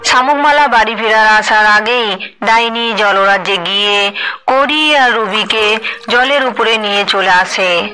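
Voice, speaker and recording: A woman's voice: mid-range voice, a little slow, bright, forceful tone, smooth, rough recording. A woman narrating a scripted story as the storyteller.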